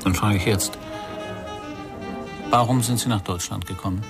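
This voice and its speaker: Low male voice, sober investigator, direct, persistent, probing, radio drama, loud music behind. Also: fairly even intonation, moderate pace, gravelly. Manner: direct, questioning. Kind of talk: German radio drama acting.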